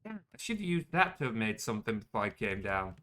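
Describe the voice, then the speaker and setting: Young male streamer, chatty, self-deprecating, quick to curse; animated delivery, crisp bright tone. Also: fairly low pitch. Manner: chatty and self-mocking, swears when caught out. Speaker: game streamer. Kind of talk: casual gameplay commentary.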